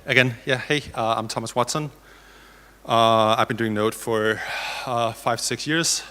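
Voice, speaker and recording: A man's voice: mid-range voice, a little slow, bright, forceful tone. A man from Denmark speaking informally and a little hesitantly as a developer on a panel. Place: indoor conference hall. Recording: reverberant conference hall.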